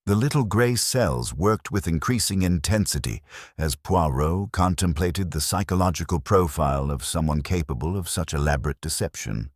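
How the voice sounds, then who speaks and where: A man reading a scripted mystery story as narrator, in a formal, measured literary manner. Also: low voice, lively intonation, no room sound, studio quality. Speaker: audiobook narrator.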